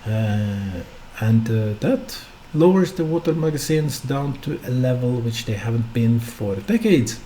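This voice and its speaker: A man's voice: medium pitch, lively intonation, a little slow, dark tone, a little hiss or hum. A Norwegian man, a non-native English speaker, hosting a solo stock market podcast in an unscripted way with many hesitations and rhetorical questions. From Norway. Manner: unscripted, hesitant with frequent fillers; asks the listener rhetorical questions.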